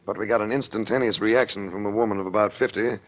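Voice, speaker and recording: Low-voiced hard-boiled private eye played by a man. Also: quick pace, natural voice. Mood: wry narration. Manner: wry, terse, deadpan. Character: cool, hard-boiled private investigator narrating his case. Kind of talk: 1940s radio detective acting and narration. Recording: noisy vintage 1940s radio recording.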